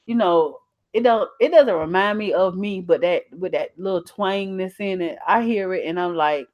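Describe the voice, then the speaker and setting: A young woman with a Southern US accent and a slightly low voice chats to her livestream viewers. She is folksy and emphatic, with colloquial phrasing. The sound is close and dry. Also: moderate pace, a little mellow, no room sound, lo-fi sound. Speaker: channel host. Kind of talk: casual livestream chat reading out viewer comments.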